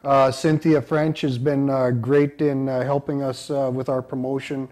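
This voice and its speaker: A man in his fifties or sixties from central North Dakota, answering casually in an interview and often pausing mid-phrase. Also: mid-range voice, level tone, a little mellow. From central North Dakota, United States. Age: 50s to 60s. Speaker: band member being interviewed.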